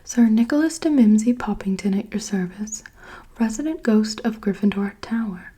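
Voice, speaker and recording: A woman's voice: medium pitch, lively intonation, moderate pace, mellow, breathy edge. A woman voicing a melancholy, courtly old male ghost, whispering. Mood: wistful.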